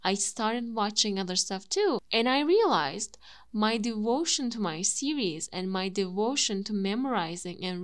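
Young Turkish-accented woman host, calm measured storyteller, takes her time. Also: medium pitch. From Turkey. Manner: clear, measured explaining. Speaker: podcast host. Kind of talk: personal monologue.

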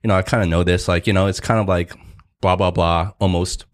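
Guy with a low voice on a podcast, just rambling along casually. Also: steady tone, fairly quick. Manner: talks at length, loose and conversational. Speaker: podcast co-host.

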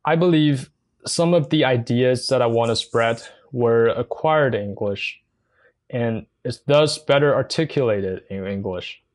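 A young man from China with a Mandarin Chinese accent, a non-native speaker hosting his own podcast, talking earnestly and carefully with frequent pauses and fillers. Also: mid-range voice. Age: young adult. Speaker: podcast host. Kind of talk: solo podcast monologue.

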